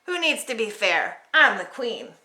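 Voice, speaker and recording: A woman's voice: a little high, very animated, measured pace, sharp tone, heavily acted. A woman voicing a bossy, curt queen in a children's storybook, imperious and dismissive. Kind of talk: children's storybook read-aloud.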